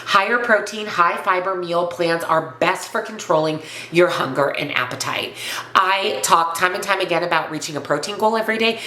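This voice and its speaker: A woman with a congested, slightly raspy voice from a cold, speaking earnestly and emphatically as a coach addressing viewers in an instructional vlog. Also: fairly low pitch, normal speed, sharp tone, echoey room, slight background noise. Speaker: weight loss and nutrition coach presenting to viewers. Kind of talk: upbeat instructional vlog to camera.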